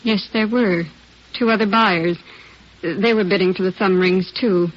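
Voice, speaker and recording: A woman's voice: mid-range voice, steady tone, measured pace, close to an everyday voice. An actress playing a polite, talkative, self-assured businesswoman in a 1950s radio drama, with a genteel, earnest manner. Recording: muffled vintage radio recording.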